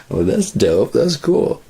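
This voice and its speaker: A man's voice: mid-range voice, creaky, mellow, slightly rough. A man talking casually on a livestream, rambling and swearing freely, into a processed studio microphone.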